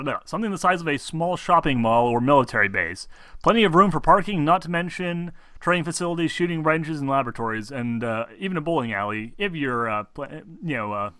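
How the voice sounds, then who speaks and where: A man with a bright, forward voice runs a tabletop role-playing game. He talks casually through the rules, often halting and stumbling as he reads, with casual swearing. The sound is close and dry, with almost no room to it. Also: mid-range voice, no room sound. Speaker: game master.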